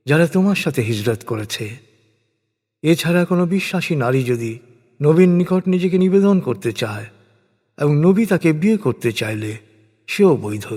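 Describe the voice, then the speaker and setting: A man reading translated scripture as a solemn, scripted narration, in formal, measured phrases with frequent pauses. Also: fairly high pitch, darkish tone. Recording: long hall-like reverb.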